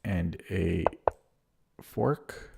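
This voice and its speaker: A man streaming chess live with a hoarse voice, thinking aloud about his moves and chatting casually with viewers. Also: sits low, drawn-out words, background noise. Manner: thinks aloud while playing, muttering over moves. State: hoarse, clearing his throat.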